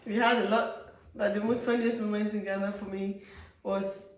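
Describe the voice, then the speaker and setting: A woman's voice: medium pitch, flat delivery, moderate pace. A woman chatting casually with her husband as co-host of their travel vlog, teasing him and giggling often. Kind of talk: casual couple chat. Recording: very echoey room.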